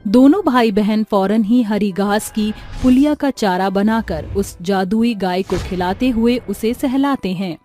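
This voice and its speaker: A woman's voice: mid-range voice, measured pace, hardly any pauses, dark tone, faint music behind. A woman giving scripted storytelling narration for a moral tale.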